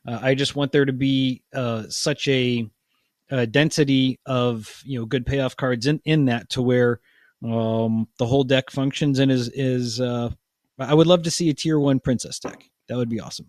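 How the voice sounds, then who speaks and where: A man hosting a casual podcast chat, talking in halting bursts with many restarts. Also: mid-range voice, fairly even intonation, darkish tone, no echo, studio quality. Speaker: podcast host.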